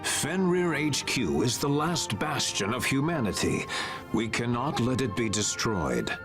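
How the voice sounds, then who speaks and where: A man voicing a cold, authoritative commander in a video game cutscene, with formal, measured, unyielding phrasing. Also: medium pitch, sing-song. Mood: cold, firm. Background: music.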